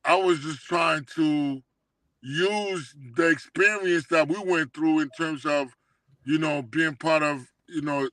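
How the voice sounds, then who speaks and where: A man's voice: a little high, fairly even intonation, takes it slowly, fairly bright tone, dry recording. A middle-aged man reminiscing casually in street slang, emphatic and often checking that he is understood. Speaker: guest telling life stories.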